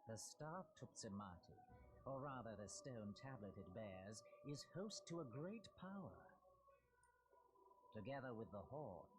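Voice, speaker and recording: A man's voice: mid-range voice, close to an everyday voice. Haughty, menacing inhuman villain played by a man, noisy audio. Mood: condescending. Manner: grandiose, taunting, deliberate archaic speech. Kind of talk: dramatic video game voice acting.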